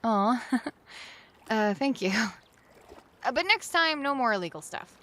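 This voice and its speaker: An actress playing a playful, daring young woman in an audio drama, with a teasing, excitable way of talking. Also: on the high side, a little slow. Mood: grateful, teasing.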